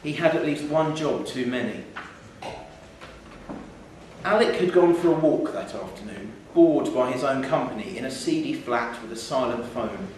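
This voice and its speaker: A man's voice: medium pitch, expressive, moderate pace, darkish tone. A man reading a literary short story aloud at a live event in a theatre. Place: theatre venue, indoors. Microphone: distant from speaker. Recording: very echoey, distant mic, faint noise.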